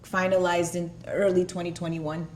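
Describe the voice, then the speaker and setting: A woman from Puerto Rico whose first language is Spanish, speaking as a guest in a relaxed interview with many fillers. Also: lowish voice, moderate pace, a little hiss or hum. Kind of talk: casual interview talk.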